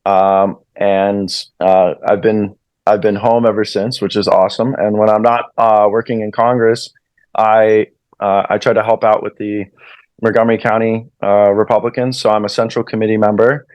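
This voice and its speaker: A man's voice: fairly low pitch, level tone, normal speed, a little mellow, dry recording. A young American man, a recent college graduate, introducing himself casually with many fillers as an interview guest. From United States. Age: young adult. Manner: casual, filler-heavy, hesitant. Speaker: guest, club president.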